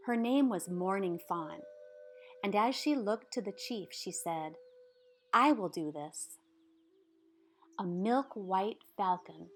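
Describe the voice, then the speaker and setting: A woman narrating a storybook aloud in a measured, expressive way, with dramatic pauses. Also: fairly low pitch. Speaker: storybook reader. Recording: music behind the voice. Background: background music.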